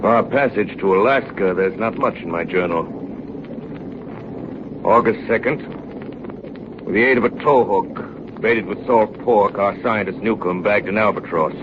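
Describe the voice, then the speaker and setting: An actor playing a sober seafarer who narrates a polar voyage from his journal in measured 1930s radio style. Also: lowish voice, lightly acted. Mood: solemn, measured. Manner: measured, grave storytelling. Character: seasoned, sober ship's engineer recounting a polar voyage. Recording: muffled, hissy 1930s radio recording.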